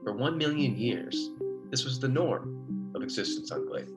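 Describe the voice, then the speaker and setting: An actor playing an earnest expedition biologist in a radio science fiction drama, explaining insistently. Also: male voice, medium pitch, very animated, unhurried, loud music behind the voice. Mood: serious. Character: earnest male expedition biologist, recording clipped field reports.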